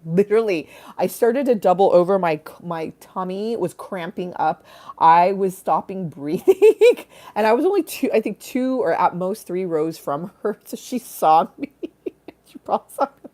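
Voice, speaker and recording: A woman's voice: mid-range voice, lively intonation, normal speed, mellow. A woman hosting a rambling comic live stream, quirky, giggly and bawdy, theatrically drifting into asides. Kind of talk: rambling comic livestream chat.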